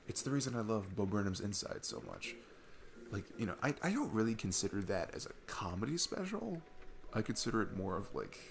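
A young man playing an awkward, chatty single dad on a date in an ASMR roleplay, rambling haltingly and self-deprecatingly. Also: on the low side, vocal fry, a little hiss or hum. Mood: amused, absorbed. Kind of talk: ASMR boyfriend-style roleplay, casual improvised-sounding chat. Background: background music.

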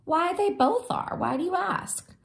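A woman's voice: very high voice, expressive, a little slow, vocal fry, voice pushed up, exaggerated voice. A woman voicing a concerned storybook mother who asks questions with a reassuring lilt. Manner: inquiring, bright upward lilt.

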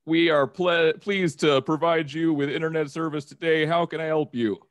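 A man acts out a strained, over-polite phone support agent for a comedy sketch. He speaks formally and haltingly, with a dry, close sound. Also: fairly high pitch, steady tone, no room sound, natural voice. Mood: stilted politeness. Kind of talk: improvised comedy phone-call skit.

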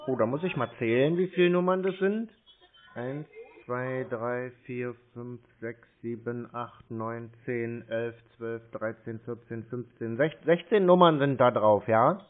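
A man from Germany stringing along a scam caller, slow and feigning confusion as he fumbles for details. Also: medium pitch. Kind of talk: playing dumb on a prank call. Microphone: phone. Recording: tinny phone line.

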